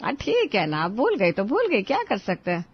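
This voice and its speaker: A woman's voice: mid-range voice, huge pitch swings, normal speed, slightly rough, highs cut off, full character voice. A woman voicing a teasing, nagging housewife and mother in a cartoon story, exclaiming and chiding. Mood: flustered.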